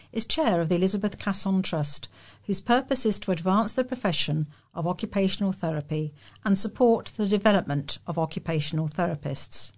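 A British woman reads local news articles aloud. Her voice sits a little lower than most women's, and she delivers prepared text as a volunteer reader. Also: a little mellow.

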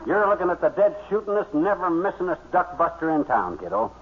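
An American actor playing a blustery, bragging amateur hunter and husband in folksy, slangy 1940s radio comedy. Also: male voice, fairly high pitch, very animated, a little fast. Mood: boastful. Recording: tinny vintage radio recording, faint noise.

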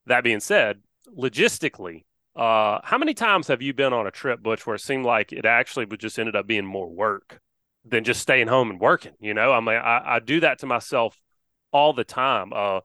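A man hosting a casual fishing podcast, rambling and energetic with plenty of fillers. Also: mid-range voice, normal speed, no echo, clean studio sound. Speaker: podcast host. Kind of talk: casual chat.

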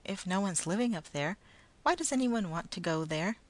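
An excited, restless little boy, put on by a woman reading a story. His voice is raised high and eager, with a pleading tone. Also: normal speed, close to an everyday voice.

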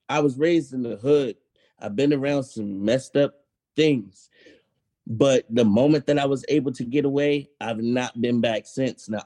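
Young man, musician guest, mellow warm voice, mid pitch, relaxed. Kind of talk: casual interview chat.

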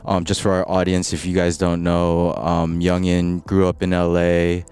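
A man's voice: deep voice, monotone, normal speed, darkish tone, smooth. A man hosting a faith podcast, talking casually with many ums and likes. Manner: hesitant, many fillers. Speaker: podcast host. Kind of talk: casual interview.

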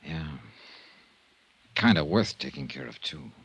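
An actor playing a tough, impatient insurance investigator in a 1950s radio drama, clipped, wry and blunt. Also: male voice, a bass voice, animated, measured pace, creaky, fairly bright tone, husky, dry recording, full character voice. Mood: wry, guarded. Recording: vintage 1950s radio recording.

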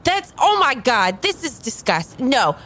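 A woman's voice: sits high, very animated, a little slow, a bit bright, full character voice. A woman in an improvised audio drama playing a disgusted, scornful psychic tarot reader in a heated argument. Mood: disgusted disbelief. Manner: exasperated, scornful.

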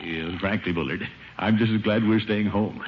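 An actor playing a pompous, blustering middle-aged water commissioner in a 1950s radio comedy, with chuckles and drawn-out exclamations. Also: male voice, lowish voice, fairly even intonation, natural voice. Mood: affable, evasive. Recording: noisy, muffled old radio recording.

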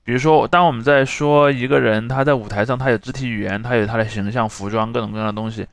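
A man's voice: mid-range voice, steady tone, fast talker, vocal fry, no echo. A man co-hosting a casual podcast discussion, reflective, often rephrasing his thoughts. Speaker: podcast host.

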